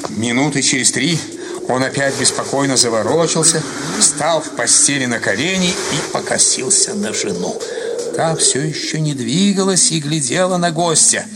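A man narrating a literary short story for radio drama in a measured, expressive storytelling manner. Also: medium pitch, very noisy. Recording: loud music under the narration.

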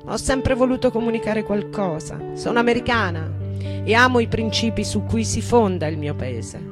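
A woman performing a dramatic poetic monologue as a passionate, defiant American rock poet, tender and intense, with deliberate repetition. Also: fairly high pitch, pitched above the performer's own voice, close to an everyday voice. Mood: earnest, reflective. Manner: slow, deliberate, intense delivery with repeated phrases. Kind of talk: dramatic monologue and poetry reading over guitar. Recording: live guitar music behind the voice. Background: guitar playing.

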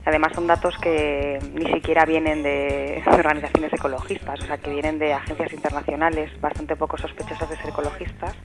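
A woman from answering interview questions as an activist guest, speaking in a measured way. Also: sits low, flat delivery, over loud music.

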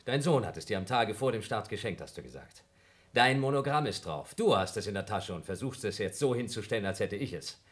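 A man's voice: lowish voice, expressive, fairly quick, natural voice. An actor playing an agitated male astronaut, sarcastic, defensive and accusatory, in a German radio play. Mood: guarded, insistent.

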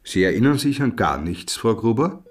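An actor in a radio drama playing a sceptical, persistent police interrogator with an Austrian accent and a dry, measured, probing manner. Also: male voice, fairly low pitch, big pitch swings. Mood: probing, insistent. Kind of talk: naturalistic radio drama acting.

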